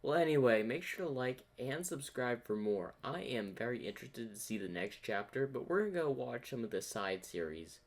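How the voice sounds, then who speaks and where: A man's voice: mid-range voice, animated, normal speed, few pauses. A man hosting a reaction video, musing aloud in casual commentary.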